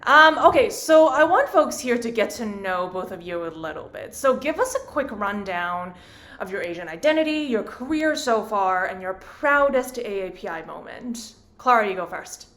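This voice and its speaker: A woman from Hong Kong is moderating a virtual panel discussion. She sounds upbeat and chatty, and her voice sits at an average pitch. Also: very animated, moderate pace, a bit bright.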